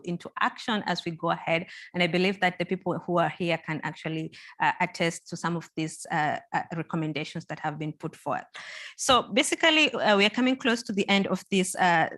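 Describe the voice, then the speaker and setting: Low-voiced woman moderating a panel, native Swahili speaker. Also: fairly quick, a bit bright. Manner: earnest, encouraging, frequent repetitions and fillers. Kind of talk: closing remarks at a hybrid panel event.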